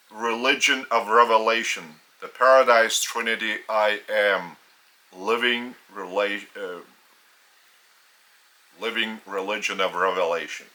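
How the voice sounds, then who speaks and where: A man with a Lithuanian accent, a native Lithuanian speaker, giving a solemn spiritual teaching in English in slow, deliberate phrases with long pauses. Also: fairly low pitch, sharp tone, low-quality recording.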